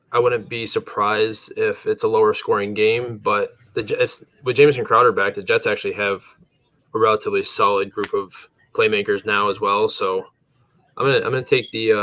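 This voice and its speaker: Low-voiced man in a rambling, casual chat. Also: steady tone, moderate pace, a bit bright. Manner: loose and rambling, with chuckles and restarts. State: a little tired. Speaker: podcast co-host.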